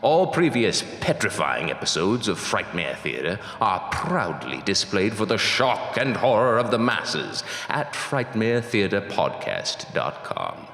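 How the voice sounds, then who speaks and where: A man's voice: on the low side, fairly bright tone, full character voice. Man as an echoing, theatrical ghostly announcer. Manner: formal, theatrical, ominous. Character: stately, ghostly male announcer of a horror show. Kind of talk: scripted horror radio announcing. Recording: echoey hall-like reverb.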